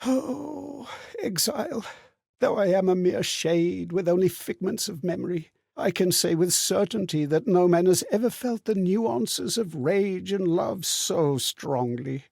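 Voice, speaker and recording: Sorrowful spirit, husky breathy voice, very dry close sound, musing, earnest, game dialogue. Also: male voice, high voice, lively intonation, unhurried, darkish tone, dry recording, heavily acted. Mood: moved, earnest. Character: gentle sorrowful male spirit of borrowed memories. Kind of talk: scripted video game character monologue.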